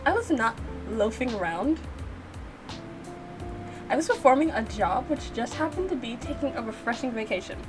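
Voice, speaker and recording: A woman's voice: a little high, lively intonation, moderate pace, long pauses, close to an everyday voice. A woman voicing a haughty, sly mafia man from a game in a pompous, sardonic way. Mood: pompous, evasive. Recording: music behind the voice.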